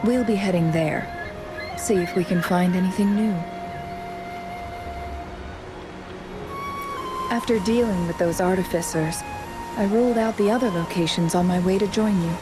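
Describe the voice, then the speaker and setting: A woman voicing a composed, courteous young strategist in a fantasy game, speaking in measured, formal phrases. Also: mid-range voice, close to an everyday voice. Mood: calm, purposeful. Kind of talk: scripted video game dialogue.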